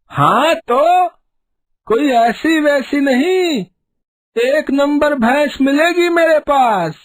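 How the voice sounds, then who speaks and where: A man's voice: very high-pitched, animated, very slow, exaggerated voice. A man voicing a greedy, bullying village cattle trader, boastful and dismissive, in a children's cartoon story.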